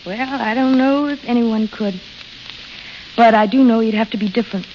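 A woman plays a restless young American roadside waitress with a fairly high voice. She is frank and dreamy at once, acted in classic radio drama style on a muffled old recording. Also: normal speed, a little hiss or hum, natural voice. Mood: cool, firm. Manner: plain-spoken, frank. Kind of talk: 1930s-40s radio drama acting.

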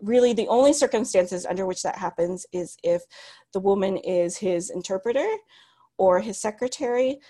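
A woman's voice: mid-range voice, moderate pace. A woman in her thirties telling her story as an interview guest, reflective and full of restarts. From United States. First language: Cantonese. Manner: reflective, many restarts and ums, laughs softly. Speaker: guest, author.

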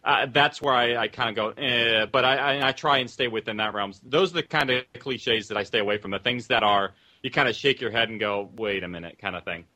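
A man with a bright, clear-cut voice of medium pitch, talking off the cuff as a producer on a panel. His phrasing is loose and informal, full of small qualifiers. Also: fairly quick, hardly any pauses. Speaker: audio drama producer, panel guest. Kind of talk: casual panel discussion.

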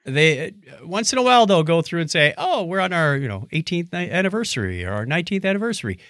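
A fast-talking man co-hosting a casual science chat, animated and given to acting out little quips. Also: fairly high pitch, no room sound, studio quality. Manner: animated, acts out quips in mock voices. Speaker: podcast co-host.

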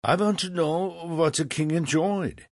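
A man's voice: fairly high pitch, a little slow, no echo, clean studio sound, pitched above the performer's own voice. A man doing a blunt young composer. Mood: blunt calm. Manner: calm, direct.

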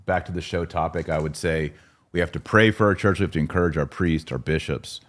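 A man's voice: low voice, a little fast. A man hosting a podcast in a casual, conversational way. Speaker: podcast host.

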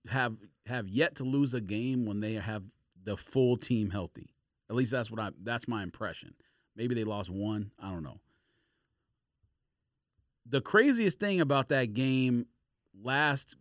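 A man's voice: medium pitch, dry recording, studio quality. A man co-hosting a podcast in casual, slangy sports banter. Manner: slangy, frequently says bro and fam. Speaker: podcast co-host.

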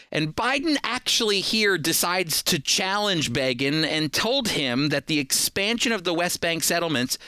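A man's voice: a little high, bright, forceful tone, smooth, dry recording. A man hosting a program, giving scripted political commentary to listeners in an emphatic, explanatory manner.

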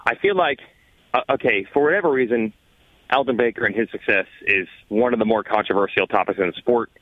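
A man's voice: medium pitch, fairly quick, no echo, phone-quality sound. A man in a casual sports podcast discussion, arguing his points emphatically with rhetorical questions. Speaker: motorsport podcast panelist.

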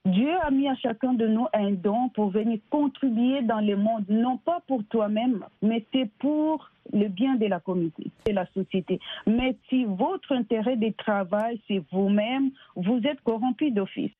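A woman's voice: a little high, a little slow, few pauses, no echo. A woman from DR Congo with a Congolese French accent, answering as an interview guest in an earnest, emphatic way, explaining with examples. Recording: tinny, phone-like band-limited line.

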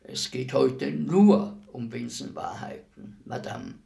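A man acts out a calm executioner in a radio play, grave and philosophical. He speaks very slowly and deliberately, with a creaky, gravelled edge. His manner is courteous and deferential. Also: medium pitch, full character voice. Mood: solemn. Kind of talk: literary radio play acting.

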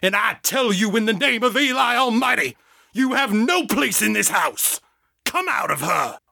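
A booming male preacher casting out a demon, his voice raised high and loud, ringing a little back from the mic, as a male narrator puts him on. He commands in a forceful, bright tone with wide swings of pitch. It is a storybook reading with a dry sound. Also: very animated, moderate pace, vocal fry, no room sound, exaggerated voice. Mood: thundering. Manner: thundering, commanding. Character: bold male preacher staging an exorcism.

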